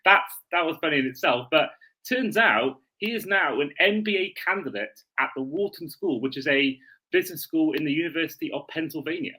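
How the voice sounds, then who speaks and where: A man's voice: on the high side, a little fast, sharp tone, breathy edge, poor audio. A man co-hosting a sports podcast in casual, chatty, informal conversation.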